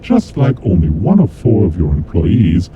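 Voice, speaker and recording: A man playing a coldly vengeful kidnapper mastermind in a horror audio drama, announcing deadly rules in a deliberate, formal, taunting way. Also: wildly swooping pitch. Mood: accusing, vengeful. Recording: dull lo-fi audio with clear rumbling noise.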